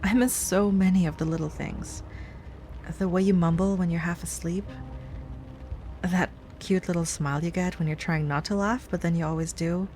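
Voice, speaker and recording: A woman playing an obsessive, sweetly pleading ex-girlfriend in an intimate audio roleplay, speaking softly and haltingly. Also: fairly low pitch, fairly even intonation, natural voice. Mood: wistful, pleading. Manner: soft, halting, wistful, with sighs and nervous little laughs. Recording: music under the voice.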